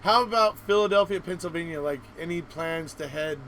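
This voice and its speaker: A man hosting an informal live-stream interview in a halting, thinking-aloud way. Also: on the high side, fairly bright tone. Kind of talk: casual live chat. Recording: faint background noise.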